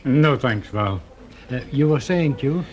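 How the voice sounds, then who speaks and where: A man's voice: mid-range voice, lively intonation, slow, a little mellow. A man acting a busy, dry-witted colleague of an investigator in a radio drama, assured and conversational. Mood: dryly assured. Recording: noisy vintage radio drama recording.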